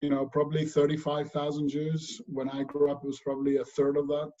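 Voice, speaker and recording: A middle-aged man from Spain, a guest in a casual remote interview, talking in a relaxed way with many pauses and fillers. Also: a little high. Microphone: video call. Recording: dull lo-fi video call audio.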